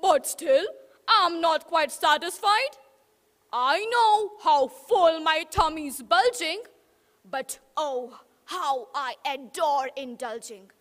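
A greedy, gloating big bad wolf, put on by a woman reciting a storybook poem, bold and booming. The voice sits high and bright, and long reverb trails off the walls of a hall. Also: expressive, slow, exaggerated voice.

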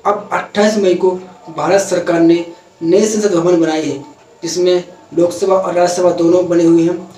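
A young man presenting a news explainer to camera, in an earnest, steady way, sometimes correcting himself mid-sentence. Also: high-pitched, moderate pace, soft background music. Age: young adult. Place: indoor room, parliament backdrop added behind. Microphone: camera a few feet away, no mic in view. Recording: echoey room, voice a bit far from mic, faint noise.

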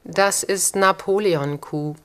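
A woman records a brief test line at a slow pace. Her delivery is measured and deliberate, with a medium pitch. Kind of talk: short recorded test phrase.

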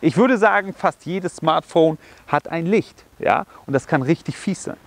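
Energetic German instructor with a cold. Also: male voice, moderate pace, creaky. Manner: energetic, explains with sound words like boom and bam. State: slightly hoarse from a cold. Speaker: self-defense instructor. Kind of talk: outdoor self-defense demonstration. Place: outdoors on a grassy park slope.

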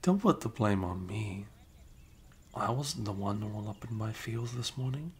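A man's voice: expressive, measured pace. A young man playing a sleepy, caring, teasing best friend in an intimate ASMR roleplay, murmuring drowsily in casual slang. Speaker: audio roleplay performer. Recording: low rumbling background noise. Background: faint rumble and blanket noises.

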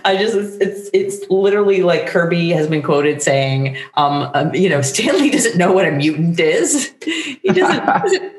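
A middle-aged woman talking casually as an interview guest, animated, with meandering, halting phrasing. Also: fairly low pitch. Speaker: guest playwright. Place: book-lined room at home, indoors. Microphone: shared desktop microphone on video call. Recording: roomy home recording.

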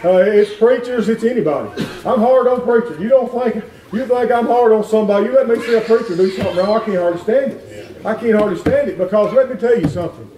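A middle-aged man preaching a folksy revival sermon in a church, climbing into shouts. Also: sits high, fast talker, recorded from a distance. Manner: folksy, plain-spoken, rises to shouting. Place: wood-paneled church sanctuary. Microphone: far room camera. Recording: echoey church heard from afar.

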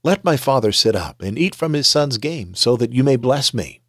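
A grieving grown son pleads with his father in a voice that rises high as he begs, as a man reading scripture gives him his lines. The sound is close and dry. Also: lively intonation, normal speed, no echo. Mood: pleading.

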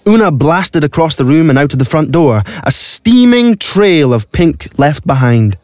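A man's voice: fairly high pitch, sing-song, a little slow, a little mellow, dry recording. A man narrating a scripted audio play as a dramatic storyteller. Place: dry recording studio.

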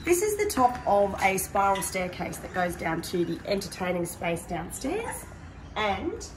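A woman's voice: mid-range voice, big pitch swings, unhurried, a little hiss or hum. Upbeat woman touring a house. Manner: upbeat, pointing things out as she walks. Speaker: prize home tour presenter. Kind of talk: walk-through house tour. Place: large empty modern house, indoors and on a deck. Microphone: handheld camera following her at a distance. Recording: echoey rooms, voice a bit far from the mic.

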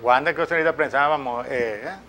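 A man in his forties with a Venezuelan Spanish accent, hosting a live morning TV show from a studio on a lapel mic, jokey and full of quick questions. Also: medium pitch, sharp tone. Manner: jokey, scattered, asks many quick questions. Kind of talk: live TV interview.

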